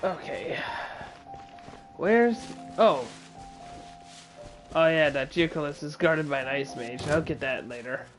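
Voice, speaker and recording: A man casually commenting while playing a game, relaxed and chuckling to himself. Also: on the high side, slow pace, pauses often. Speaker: streamer. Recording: game music over the voice.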